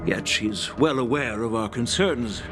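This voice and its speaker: A man acting solemn game general, courteous, measured, formal, music behind. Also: mid-range voice, close to an everyday voice. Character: grave, courteous male general convening a war council.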